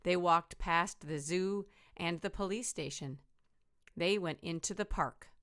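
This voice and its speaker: A woman teacher reading a children's storybook aloud in a deliberate, rhythmic, expressive way. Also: on the low side.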